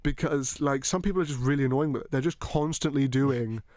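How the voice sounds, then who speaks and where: A man's voice: mid-range voice, darkish tone, no room sound, clean studio sound. A young man with a British accent, hosting a casual podcast chat, rambling and swearing loosely. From Hong Kong. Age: young adult. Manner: rambling, swearing, quick laughs. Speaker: podcast host.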